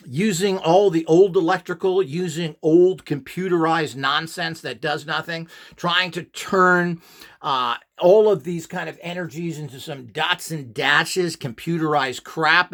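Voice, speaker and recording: A middle-aged man with a slightly raised pitch talks through an instructional video in an emphatic, self-assured way. He is quick to dismiss rivals, and his delivery is full of fillers and self-corrections. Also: a bit bright. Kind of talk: unscripted instructional monologue.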